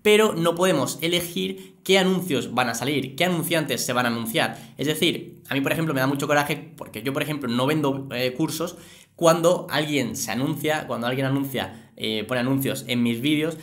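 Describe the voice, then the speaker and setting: A young man with an accent from Spain presents an online explainer. His voice sits at a middle pitch, and he stresses key words as he walks the viewer through things step by step. It is recorded indoors in a small home studio. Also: normal speed, fairly bright tone. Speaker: YouTuber presenting a finance channel. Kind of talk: explainer to camera.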